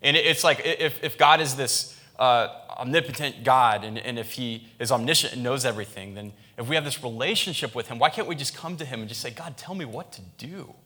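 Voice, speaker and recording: A man's voice: mid-range voice, fairly quick, few pauses, bright, forceful tone. A man, a pastor, giving a sermon in a conversational style, with frequent restarts and fillers.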